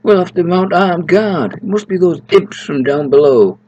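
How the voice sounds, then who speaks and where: Older man acting aggrieved laid-back male grower, raised pitch, slangy complaining, storybook character. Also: lively intonation, moderate pace, warm tone, slightly rough, muffled recording. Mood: indignant.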